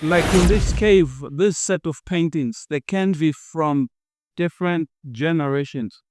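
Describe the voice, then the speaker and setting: A man working as a tour guide, explaining sites slowly with many fillers. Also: on the high side, over music.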